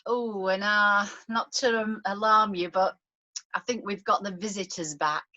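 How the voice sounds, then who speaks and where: A woman playing a fond, fussing mother in her fifties in a script table read, chatty and gently teasing. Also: medium pitch, fairly even intonation, a little slow, highs cut off. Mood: sheepish. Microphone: video call.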